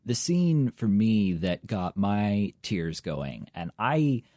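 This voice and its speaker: A young man with a low, mellow voice talks over a show in casual, thoughtful podcast chat. His wit is dry and his phrasing is articulate. The recording is dry and close, with no room sound. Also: very animated, slow, no echo. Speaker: podcast co-host.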